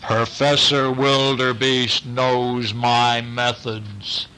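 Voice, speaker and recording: A man voicing a blue moose, a grave and dignified animal detective who speaks in a slow, deliberate, formal way for a bedtime story. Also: mid-range voice, flat delivery, sharp tone, smooth, rough recording. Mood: grave, measured.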